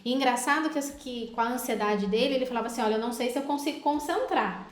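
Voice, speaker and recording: Woman therapist talking with a guest, a bit high pitched, lots of "right?", echoey room. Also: fast talker, slight background noise. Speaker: hypnotherapist host. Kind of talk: casual interview chat. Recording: roomy echo.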